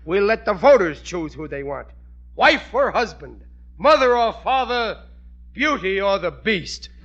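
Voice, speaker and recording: A blustering working-class family man from an old radio comedy. His voice sits fairly high for a man, plaintive and put-upon in an ungrammatical everyman way, on a dull vintage broadcast recording. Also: big pitch swings, a little slow, fairly bright tone, heavily acted. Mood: defiant. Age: middle-aged. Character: aggrieved, blustering working-class husband and father, middle-aged. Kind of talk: 1940s radio sitcom acting.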